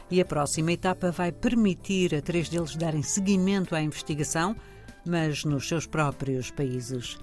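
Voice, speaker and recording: A woman reporter, narrating a news report and asking interview questions in a measured, clear delivery. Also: on the low side, a little mellow.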